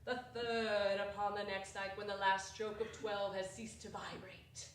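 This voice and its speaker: A slow, sorrowful phantom dragging its chains, acted by a woman. Every line is measured and lamenting, as if the voice carries a warning from beyond. It sounds from a distance in a very reverberant theatre, with faint room noise behind. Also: mid-range voice, level tone, lightly acted. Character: mournful chained ghost of a dead partner. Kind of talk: dramatic stage reading. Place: small theatre stage. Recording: echoey hall from far off.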